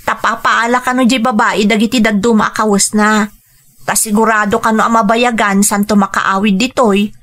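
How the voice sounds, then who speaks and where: A woman's voice: on the high side, steady tone, moderate pace, fairly bright tone, close to an everyday voice. A woman with an Ilocano accent playing a caring, exasperated grown daughter in an emotional radio drama. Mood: worried, confiding.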